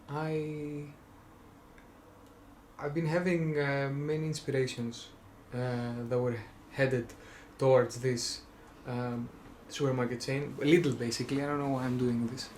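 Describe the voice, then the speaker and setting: A man's voice: mid-range voice, fairly even intonation, unhurried, a little mellow, a little hiss or hum. A young man from Greece with a Greek accent, rambling casually in a vlog, full of fillers and self-restarts with wry jokes. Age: young adult. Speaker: vlogger.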